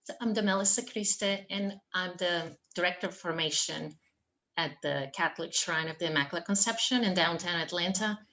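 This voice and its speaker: Deep-voiced woman from Venezuela. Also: moderate pace, sharp tone. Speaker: church formation director. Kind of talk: panel discussion. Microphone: video call.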